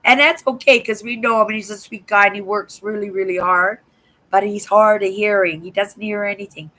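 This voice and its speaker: An older woman from Canada hosting a livestream, chatting casually with viewers in a hoarse voice, rambling and tagging "right?" onto her sentences. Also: medium pitch, fairly even intonation, fairly quick, bright, forceful tone, poor audio. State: hoarse from a sore throat. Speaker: livestream host. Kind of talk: casual live chat to viewers.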